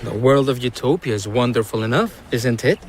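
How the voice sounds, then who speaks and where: A man's voice: medium pitch, animated, fairly quick, slight background noise, close to an everyday voice. An actor playing a polite, earnest, bookish young man, a traveller in a radio drama.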